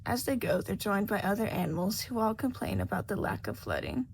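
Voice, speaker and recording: A woman's voice: medium pitch, wildly swooping pitch, fairly quick, a little hiss or hum. A woman reading scripted fiction as a story narrator. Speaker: podfic narrator.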